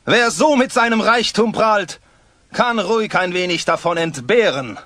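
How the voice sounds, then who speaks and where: A man's voice: high-pitched, unhurried, sharp tone, dry recording. A man playing a scheming, boastful villain in a German audio play, smug, theatrical and menacing. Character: scheming, boastful male villain, a kidnapper and swindler.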